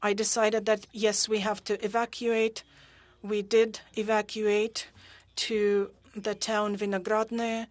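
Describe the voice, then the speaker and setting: A woman interpreting witness testimony at a hearing into English, halting and pausing often with fillers. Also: medium pitch, little pitch movement, no echo. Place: interpreter booth at a parliamentary hearing.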